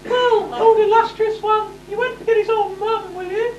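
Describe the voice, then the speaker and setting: A woman's voice: very high voice, steady tone, moderate pace, darkish tone, muffled recording. A woman playing a fussing, overdramatic pantomime character on an amateur stage, full of exclamations. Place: amateur stage show in a hall, audience behind. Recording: echoey hall, far mic, audience noise.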